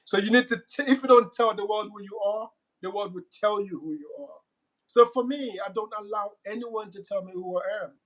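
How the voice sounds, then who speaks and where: A middle-aged man with a West African accent talks in a candid interview, and his voice sits high for a man. He speaks emphatically and doubles back on words as he drives each point home. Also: fairly quick, a bit bright, poor audio. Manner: emphatic, repeats words when pressing a point.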